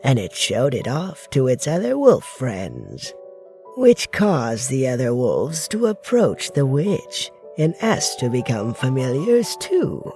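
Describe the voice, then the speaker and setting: An actor playing a calm storyteller in a comic dub, telling children a legend in a measured, expressive way. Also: mid-range voice, heavily acted. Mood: gentle storytelling. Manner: measured, unhurried, expressive delivery. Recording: music behind the voice.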